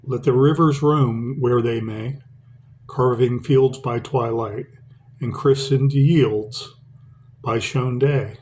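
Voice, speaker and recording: A middle-aged man reads his own poem aloud, then talks to the camera about poetry in a rambling way, full of pauses and fillers. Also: mid-range voice, fairly even intonation, darkish tone, a little hiss or hum. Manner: rambling, with many fillers and restarts. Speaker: poet reading his own work. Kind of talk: poetry reading and casual talk to camera.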